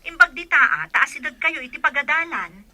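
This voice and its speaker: A woman's voice: high voice, lively intonation, normal speed, pushed and bright, exaggerated voice. A woman playing a worried, fussy aunt in a radio drama, speaking in an insistent, cautioning way. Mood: exasperated.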